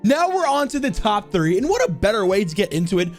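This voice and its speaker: Male commentary host, high and very lively, chatty and emphatic, light music bed. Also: quick pace. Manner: animated, informal, emphatic. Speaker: video host and narrator. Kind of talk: enthusiastic countdown commentary.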